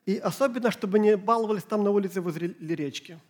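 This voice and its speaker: A middle-aged man, Ukrainian his first language, preaching a church sermon in short phrases with pauses for an interpreter. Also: sits high, a little mellow. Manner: slow, halting German, pausing phrase by phrase. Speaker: pastor.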